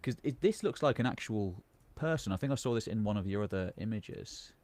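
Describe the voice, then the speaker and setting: A man's voice: on the low side, expressive, a little fast, darkish tone. A man hosting a casual live history chat, reacting enthusiastically and chatting with his guest. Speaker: history channel host.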